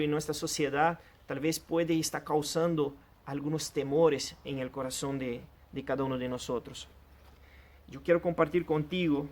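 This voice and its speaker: A man's voice: on the high side, a little mellow. A man whose first language is Portuguese, preaching a sermon in an earnest, direct way and addressing the listener personally. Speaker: pastor.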